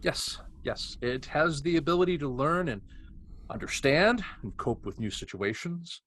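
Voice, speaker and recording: A man's voice: fairly high pitch, expressive, measured pace, fairly bright tone. A man reading the part of a stubborn, self-assured scientist giving curt testimony as a hostile witness in a table read. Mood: grudging. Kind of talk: amateur script table read. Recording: clear background noise, room ambience.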